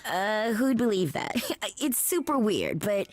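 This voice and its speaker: A snarky young female hacker, slangy and casual, very lively intonation, video game. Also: mid-range voice, a little slow. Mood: teasing.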